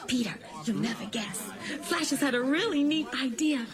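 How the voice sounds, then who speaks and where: Giggly teenage girl, high voice, lively swoops, bubbly, radio drama. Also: moderate pace, crowd noise. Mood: excited, giggly. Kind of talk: superhero radio drama acting.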